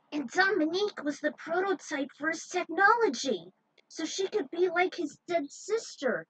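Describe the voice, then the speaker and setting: Female narrator, higher-pitched and sharp, unhurried, reading fan fiction with chatty asides. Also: rough recording. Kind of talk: casual commentary and story reading.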